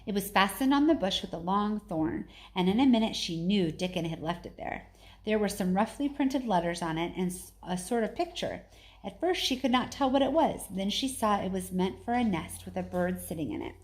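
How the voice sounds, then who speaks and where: Female storybook narrator, mid-pitched, expressive read-aloud delivery of a children's novel. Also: moderate pace. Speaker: story reader.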